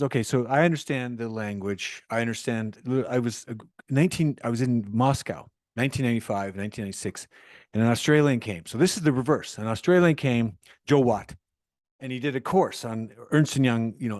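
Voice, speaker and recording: A man hosting a podcast interview, rambling and animated, often restarting his sentences. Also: mid-range voice, fairly quick, no room sound, clean studio sound. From Canada. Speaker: podcast host. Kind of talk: conversational interview.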